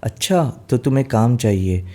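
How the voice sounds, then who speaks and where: A man's voice: mid-range voice, lively intonation, moderate pace, dark tone, natural voice. A man playing a kindly female fairy who gives instructions in a storybook tale. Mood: instructing.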